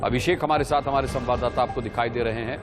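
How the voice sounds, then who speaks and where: A man's voice: mid-range voice, fairly even intonation, fast talker, music in the background. A man anchoring live television news and introducing field reports.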